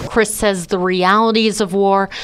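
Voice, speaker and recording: A woman's voice: mid-range voice, fairly even intonation, unhurried, clear, resonant tone. A woman news reporter telling a story and chatting earnestly in the studio.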